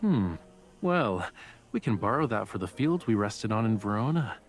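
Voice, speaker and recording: A man's voice: on the low side, sing-song, normal speed. An actor playing a young male love interest in a romance game, playful, casual and wry, with teasing delivery. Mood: relaxed, teasing.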